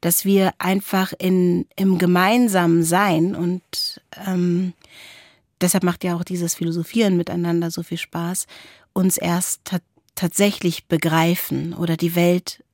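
Low-voiced woman, reflective talk. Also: level tone, unhurried, a little mellow, no echo, clean studio sound. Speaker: podcast co-host. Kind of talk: reflective conversational philosophy talk.

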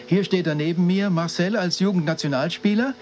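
An actor playing a chummy radio sports reporter making eager, halting small talk in a mock interview. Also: male voice, high voice, a little mellow, soft background music, natural voice.

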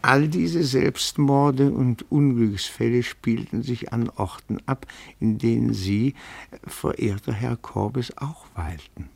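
An actor playing a timid but dogged petit-bourgeois amateur detective in a radio play, formal, meticulous and deferential, given to rapturous declamation. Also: male voice, mid-range voice, takes it slowly, gravelly. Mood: pedantically insistent. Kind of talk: German radio play acting.

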